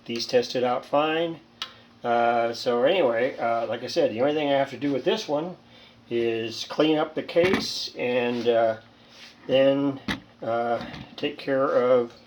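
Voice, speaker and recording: Male hobbyist repairer, mid-range voice. Casual hands-on demo delivery, halting and thinking out loud. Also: mid-range voice, background noise. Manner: rambling, halting, thinking aloud. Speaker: hobbyist radio repairer, presenter. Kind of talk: casual hands-on demonstration talk.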